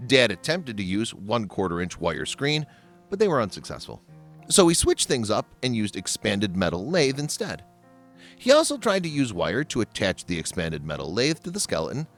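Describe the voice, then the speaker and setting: A man's voice: on the low side, huge pitch swings, normal speed. A man reading scripted voice-over narration in the manner of a how-to video. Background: background music.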